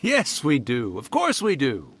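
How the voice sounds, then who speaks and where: Wildly theatrical, mischievous spirit. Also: male voice, medium pitch, wildly swooping pitch, unhurried, heavy background noise. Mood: playful, teasing. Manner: grandiose, teasing, dramatic drawl with swooping emphasis. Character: theatrical, mischievous male yokai spirit posing as villain. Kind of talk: scripted video game voice acting.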